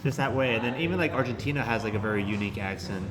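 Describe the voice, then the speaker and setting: Young cali dude rambling in a hostel, says like constantly, mid pitch. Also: male voice, very fast, a crowd in the background. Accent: Californian American English. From California, United States. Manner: rambling, heavy use of "like". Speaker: traveler in a group chat. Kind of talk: casual chat.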